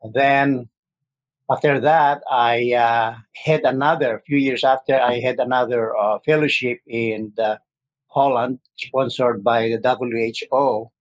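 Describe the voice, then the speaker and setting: A man from the Philippines in his sixties, a guest telling his story in a casual interview, often pausing with fillers. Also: medium pitch, steady tone, dry recording, rough recording. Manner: hesitant, frequent uh fillers.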